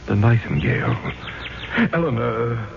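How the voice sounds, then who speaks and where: A man's voice: medium pitch, vocal fry, noisy background. An actor playing a doomed, centuries-old ghost nobleman in a theatrical, imploring radio drama style. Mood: anguished. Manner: theatrical, slow, repeating phrases. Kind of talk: 1970s radio drama acting. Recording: dull old radio broadcast, loud music.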